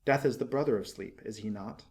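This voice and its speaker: A man plays a little male swallow from a storybook, humble and devoted. He speaks softly and simply, with tender earnestness. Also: medium pitch, brisk, natural voice. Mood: sad, loving.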